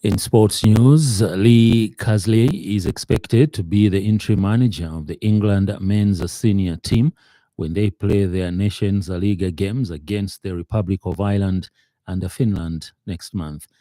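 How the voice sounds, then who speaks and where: A low, very mellow man's voice with a Zambian accent reads a scripted news bulletin. He works as a radio presenter in a dry, close-sounding studio. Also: unhurried, no echo.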